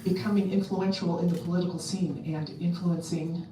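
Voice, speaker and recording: A woman with a loud voice giving a casual talk to a convention audience, chatty and full of ums and restarts. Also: fairly low pitch, fairly even intonation, brisk, noisy background. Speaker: convention speaker. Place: convention hall. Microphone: handheld mic on a stand. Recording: echoey hall, mic at a distance.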